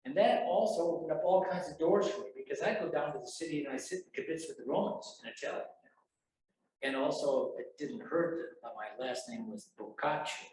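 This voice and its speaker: A man's voice: a little high, fairly quick, darkish tone. A man in his seventies, an alumnus photographer giving a chatty, self-deprecating speech to an audience at a university event. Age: 70s. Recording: very echoey hall, mic far off.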